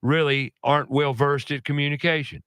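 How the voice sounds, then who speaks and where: A man's voice: a little high, little pitch movement, normal speed, fairly bright tone, clear, resonant tone, no room sound, studio quality. A man hosting a radio talk show, interviewing a caller in a friendly, plainspoken way. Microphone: studio mic.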